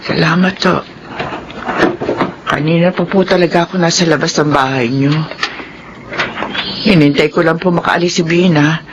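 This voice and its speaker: A woman's voice: fairly low pitch, noisy background, close to an everyday voice. A woman playing a remorseful, emotional mother in a radio drama, speaking hesitantly. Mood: anxious, subdued. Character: remorseful mother, weak and envious of her resilient daughter. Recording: radio drama with music behind.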